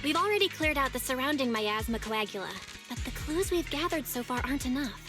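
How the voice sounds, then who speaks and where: A woman's voice: high voice, expressive, brisk, music in the background. A woman voicing a determined young female investigator, speaking earnestly and urging her teammates on in game dialogue. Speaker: game character.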